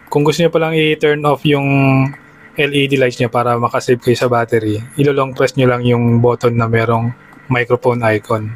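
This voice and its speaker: A Filipino man talks viewers through a device setup in a casual vlog style. His voice sits at an average male pitch and his delivery is plain and instructional. Faint music plays behind him. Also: fairly even intonation, normal speed. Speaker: motorcycle vlogger giving a product tutorial. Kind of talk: casual step-by-step how-to narration in a vlog.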